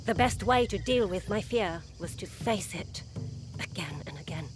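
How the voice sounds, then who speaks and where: Game role: earnest, proud female fighter played by a woman, medium pitch, stately poetic delivery. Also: animated, music in the background, lightly acted. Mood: reflective. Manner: formal, deliberate, poetic phrasing. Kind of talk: dramatic video game acting.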